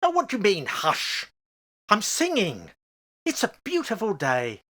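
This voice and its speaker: A man playing an anxious, excitable older father in an amateur radio horror play, with a theatrical, halting delivery. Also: high-pitched, heavily acted. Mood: breezy, musing.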